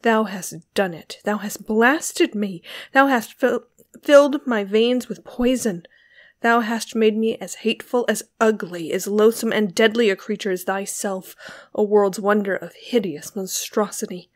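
A woman's voice: mid-range voice, fairly even intonation, a little slow, a little mellow, lightly acted. Audiobook role: woman as furious young lover, venomous, bitterly scornful, declamatory old-fashioned diction. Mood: venomous rage. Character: Giovanni, enraged, scornful young man. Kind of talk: dramatic literary audiobook reading.

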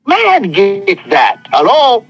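A man's voice: sits high, wildly swooping pitch, slow, vocal fry, fairly bright tone, breathy edge, no room sound. A man preaching an impassioned sermon, speaking emphatically with repetition and often calling for responses from listeners. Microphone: phone conference call.

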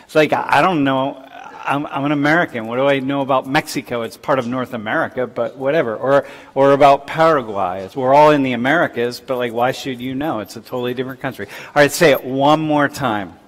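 Older male lecturer, casual and chatty, long hall reverb, mid-pitched voice. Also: brisk, hardly any pauses. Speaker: professor. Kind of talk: lecture with class interaction.

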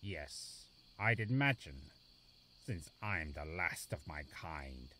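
Cartoon forest beast, male actor: very deep, aloof, brooding loner with expressive, swooping delivery. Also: takes it slowly, slight background noise, full character voice. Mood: brooding, suspicious. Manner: curt, brooding, prickly. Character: grumpy, aloof, lonely male forest beast, last of his kind. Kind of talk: animated fan drama acting. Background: background music.

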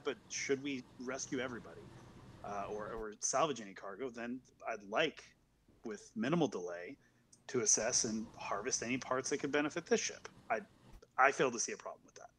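A man playing a tired, officious spaceship captain in his thirties in an online roleplay, speaking in halting procedural language. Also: mid-range voice, animated, fairly bright tone, a little hiss or hum, close to an everyday voice. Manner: halting, procedural, bureaucratic delivery. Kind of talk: improvised online tabletop roleplay.

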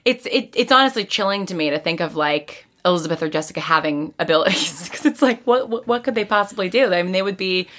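A woman's voice: fairly low pitch, animated, fast, few pauses, fairly bright tone. A woman, a writer guesting on a podcast, chatting casually in informal, loosely phrased sentences full of "like". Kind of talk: casual chat.

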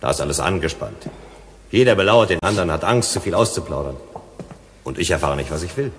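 A man's voice: deep voice, lively intonation, brisk. Probing male negotiator, in long reverb. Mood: coaxing. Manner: insistent, questioning, soothing. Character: probing, persuasive male negotiator on a Venus colony. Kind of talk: German radio play acting.

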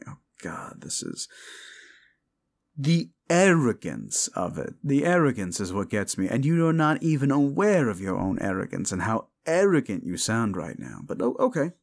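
A man's voice: medium pitch, sing-song, normal speed, gravelly. A man playing a cruel, condescending demon in an audio roleplay, talking down to the listener with mocking, theatrical delivery. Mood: contemptuous. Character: condescending, cruel male demon summoned as a date.

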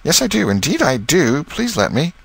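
A coy little schoolgirl from a storybook, as a man puts her on. The voice is raised high above the reader's own, sweet, eager and insistently pleading. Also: huge pitch swings, normal speed, creaky, fairly bright tone, slightly rough, dry recording, heavily acted.

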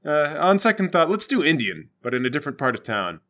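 A man's voice: on the high side, sing-song, quick pace, a bit bright. A man bantering casually and comically as a player in a tabletop game.

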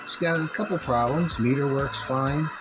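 A man's voice: medium pitch, normal speed, music in the background. A man giving a casual, folksy hands-on demo, talking informally to viewers as he handles a radio. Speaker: CB radio owner demonstrating a set. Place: indoors, small room at home. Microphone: handheld phone filming the radio. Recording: noisy phone-video audio with radio hiss. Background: clear noise and CB radio sound.

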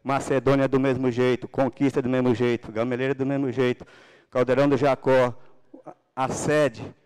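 A man's voice: mid-range voice, little pitch movement, moderate pace, a little mellow, clear, resonant tone. A middle-aged man from Paraná, Brazil, gives a political speech to a council chamber, holding a handheld microphone. He talks with religious fervor and builds to emphatic bursts. The sound comes from a large indoor hall. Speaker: city councilman.

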